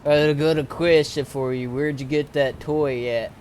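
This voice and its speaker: A man's voice: a little high, steady tone, a little slow, a little mellow. A man joking around casually with other players over game voice chat. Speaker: online roleplay game player. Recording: faintly noisy game voice chat.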